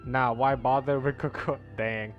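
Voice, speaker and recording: A man streams a video game in a middle-pitched voice. He chats casually, jokes and swears mildly in slang, with faint music underneath. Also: steady tone, measured pace, lo-fi sound. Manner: joking, slangy, mild swearing. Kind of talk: casual livestream commentary, reacting and chatting. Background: soft background music.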